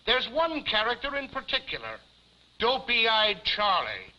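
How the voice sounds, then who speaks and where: A man's voice: extremely high pitch, wildly swooping pitch, measured pace, sharp tone, exaggerated voice. A man playing a blustering, hard-boiled American police chief in a 1950s television comedy, emphatic and officious but formally courteous. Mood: officious, boastful. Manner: emphatic, officious, addresses woman formally as ma'am. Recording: muffled 1950s television soundtrack.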